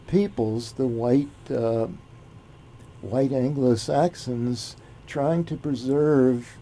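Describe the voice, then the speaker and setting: Slow, dark-voiced male host. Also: medium pitch, a little hiss or hum. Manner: slow, deliberate questioning with fillers. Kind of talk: talk show interview.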